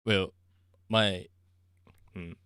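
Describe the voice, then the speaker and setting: A man with a Japanese accent, chatting casually on a livestream, halting and searching for words with frequent soft laughs. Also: sits low, steady tone, clean tone, dry recording, studio quality. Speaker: streamer.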